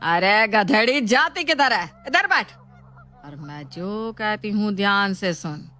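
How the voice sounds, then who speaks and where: A woman voicing the domineering, taunting ghost of an older mother-in-law in a storybook drama, scolding in drawn-out phrases. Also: medium pitch, expressive, pushed and bright. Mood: bossy. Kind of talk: Hindi moral storybook drama.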